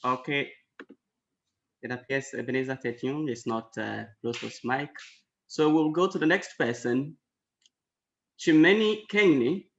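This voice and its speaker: A man's voice: medium pitch, measured pace, pauses often, a little hiss or hum. A man works as moderator of an online conference, calling on speakers politely and apologizing for his pronunciation. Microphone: video call. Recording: dull lo-fi call audio.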